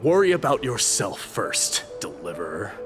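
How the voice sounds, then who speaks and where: A man's voice: lowish voice, sing-song, moderate pace, music in the background. A man voicing a proud, blunt warrior in a video game, with a curt, scornful and sardonic manner.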